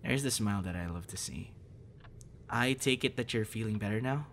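A man playing a gentle, encouraging young voice actor in a soft, hesitant, reassuring ASMR boyfriend roleplay. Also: fairly low pitch, moderate pace, natural voice. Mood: tender. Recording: noticeable background noise.

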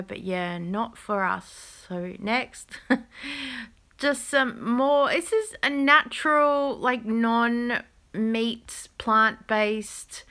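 A woman's voice: medium pitch, a bit bright. A woman chatting casually as she films the shelves on her phone, pointing out bargains with an easy laugh. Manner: chatty, thrifty, laughs now and then. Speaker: vlogger. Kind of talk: casual shopping commentary. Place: supermarket aisles, later at home. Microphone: phone camera held in hand.

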